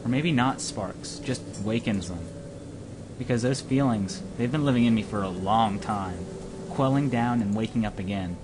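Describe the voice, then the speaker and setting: A man's voice: fairly low pitch, moderate pace. A man co-hosting an amateur let's-play, reading visual novel narration aloud and adding joking asides. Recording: noisy home recording.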